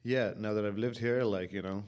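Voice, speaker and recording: A young man with a fairly low voice speaks as a podcast guest. His Irish accent is toned down with some American colouring. He tells things in a candid, informal way, with slang and swearing. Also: fairly even intonation, moderate pace, darkish tone. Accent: softened Irish, somewhat Americanized. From Ireland. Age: young adult. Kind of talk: candid casual storytelling.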